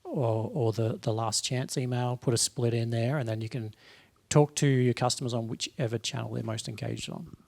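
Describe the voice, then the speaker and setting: Gravelly-voiced man on a discussion panel. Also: mid-range voice, moderate pace, a little mellow. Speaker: panelist, eCommerce marketer. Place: live event in front of an audience.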